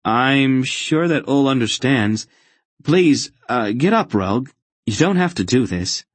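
A man's voice: mid-range voice, expressive, slow, no echo, pitched above the performer's own voice. A man voicing an earnest, awkward and stubborn boy hero in a fantasy audiobook. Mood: flustered. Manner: flustered, protesting, firm.

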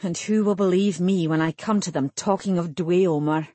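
A woman's voice: fairly low pitch, normal speed, mellow, dry recording, natural voice. A woman voicing an angry, scornful elven sorcerer in an audiobook, with a snarling, commanding manner. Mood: indignant. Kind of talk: audiobook character acting.

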